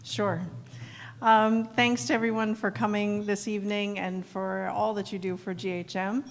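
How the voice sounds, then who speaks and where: A woman's voice: mid-range voice, flat delivery, moderate pace. A middle-aged woman from South Dakota, answering questions at a live evening event in an earnest way, with frequent ums. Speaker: executive director being interviewed. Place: event hall. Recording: long hall reverb.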